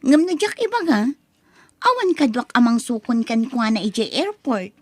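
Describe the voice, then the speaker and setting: A woman's voice: a little high, sing-song, moderate pace, no room sound. A young woman voicing a spoiled college-student daughter who talks in a whiny, dismissive way. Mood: cheerful. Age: young adult. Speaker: voice actor. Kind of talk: audio drama acting.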